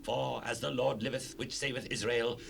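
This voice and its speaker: A man's voice: medium pitch, fairly even intonation, moderate pace, gravelly. An actor playing a grave, commanding warrior king in a dramatized Bible recording, speaking with regal declamation. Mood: stern. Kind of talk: dramatized scripture acting. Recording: noisy cassette rip, ambient sound.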